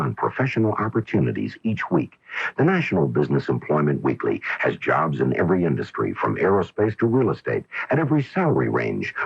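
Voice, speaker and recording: Male commercial announcer, very deep, gravelly, scripted ad read, dull old radio sound. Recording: dull lo-fi 1980s radio broadcast.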